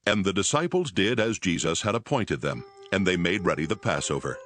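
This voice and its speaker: A man with a very low voice narrates scripture from a script. Prominent music runs behind his reading. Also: animated, a little fast, a bit bright.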